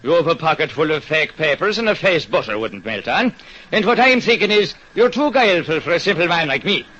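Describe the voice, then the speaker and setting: A man's voice: on the high side, very animated, fairly quick, sharp tone, heavily acted. An actor playing a sly, bullying old policeman and father in a radio drama, folksy and mocking in manner. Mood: suspicious, mocking. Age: older. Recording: dull, noisy old radio recording.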